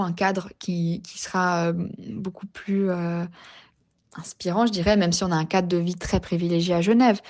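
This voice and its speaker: A woman's voice: fairly low pitch, a little mellow. A woman, talking reflectively as a podcast guest, with many hesitations and restarts. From Geneva region, Switzerland. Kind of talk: personal story in a podcast interview.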